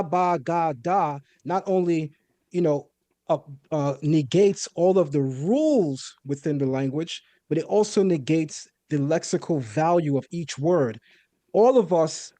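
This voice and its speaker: A man's voice: on the high side, dark tone, no room sound. A man raised in a Caribbean home, explaining a point of language in a methodical, lecturing way and often checking in with "right?". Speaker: guest debater. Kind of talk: explanatory debate on Hebrew.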